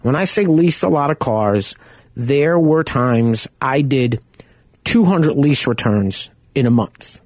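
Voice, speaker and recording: A man hosting a car-buying radio show from home, talking in a loud, chatty, conversational way. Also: medium pitch, dry recording, studio quality. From Arizona. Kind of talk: casual radio talk.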